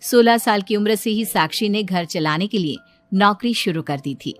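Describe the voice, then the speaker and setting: A woman's voice: medium pitch, normal speed. A woman narrator reading a scripted moral story. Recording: music behind the voice.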